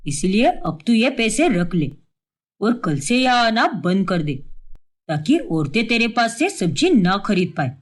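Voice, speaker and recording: A woman voicing a grumbling, scheming husband in a cartoon story, complaining in a matey way. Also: medium pitch. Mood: pushy. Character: grumbling, scheming husbands fed up with home cooking.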